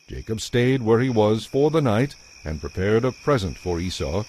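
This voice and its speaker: A man narrating a dramatized audio Bible in a measured, scripted storytelling style. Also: fairly low pitch, very animated, darkish tone, slight background noise.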